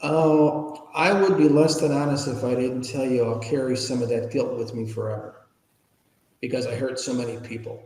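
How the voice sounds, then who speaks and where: A man in his fifties or older telling personal stories conversationally as an interview guest, informal and animated, often asking rhetorical questions. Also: medium pitch, normal speed. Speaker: interview guest, public speaker. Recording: echoey room with long reverb.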